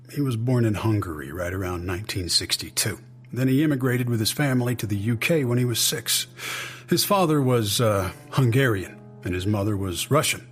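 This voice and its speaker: An actor playing a matter-of-fact male intelligence agent in an audio drama, giving a measured, factual briefing. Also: on the low side, natural voice.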